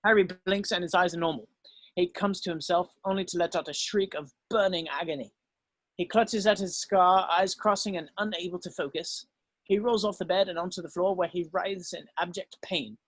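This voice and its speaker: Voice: mid-range voice, moderate pace, no room sound. A narrator reading screenplay stage directions as scripted narration, now and then stumbling over the text.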